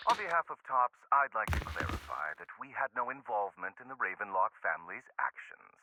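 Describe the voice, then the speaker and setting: A man's voice: on the low side, very animated, fairly quick. An actor voicing a firm, businesslike official spokesman in a video game, delivering a formal statement over a radio. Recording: noisy radio broadcast with clicks.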